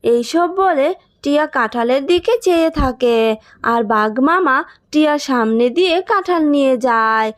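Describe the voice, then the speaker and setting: A woman's voice: sits high, animated, moderate pace, no echo, clean studio sound. A woman storyteller reading scripted narration for a children's cartoon.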